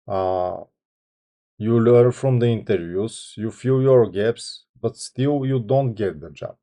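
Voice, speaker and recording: A man in his thirties with a Bulgarian accent, a software engineer talking to camera without a script, hesitant, with many ums and restarts. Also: lowish voice, slow. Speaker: software engineer channel host. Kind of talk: unscripted talk to camera.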